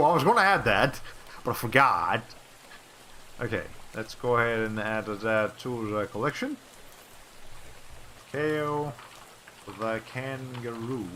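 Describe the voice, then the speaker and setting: A man's voice: mid-range voice, sharp tone, slight background noise, poor audio. A young man from Colombia, a native Spanish speaker, chatting casually on a livestream in a rambling way with many fillers and restarts. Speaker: VTuber streamer.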